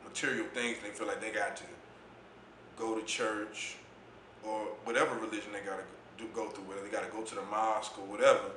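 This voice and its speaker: A man with a deep voice, speaking as an interview guest in a laid-back, reflective way about his poetry and youth mentoring. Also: level tone, quick pace, bright, forceful tone, reverberant, a little hiss or hum, poor audio. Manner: laid-back, reflective, says "you know what I'm saying" often. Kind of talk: casual interview talk.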